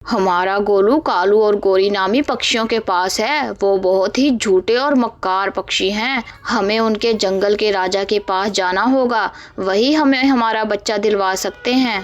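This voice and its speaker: Cartoon male bird friend, kindly, earnest, unhurried, lowered pitch; performed by a woman. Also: muffled recording, lightly acted. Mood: earnest warning. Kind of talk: animated moral story dialogue.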